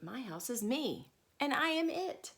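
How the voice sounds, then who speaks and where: Woman doing a calm contented man, gentle, plain, storybook reading. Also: high voice, a little slow, heavily acted. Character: calm contented man who loves his house.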